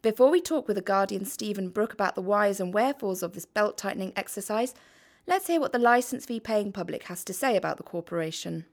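A woman hosting a daily news show, presenting stories and asking people quick questions. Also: mid-range voice, lively intonation. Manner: brisk, prompting questions. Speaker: podcast host and interviewer. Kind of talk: news presenting and street interviews.

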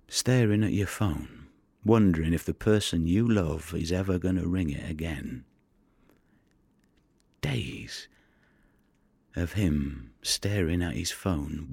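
A man acting a weary, troubled fisherman in an audio drama monologue, gravelly and husky, brooding and halting, with blunt words. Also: extremely low pitch, a little mellow, dry recording, full character voice. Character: weary, troubled fisherman rescuing migrants at sea. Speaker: actor in an audio play.

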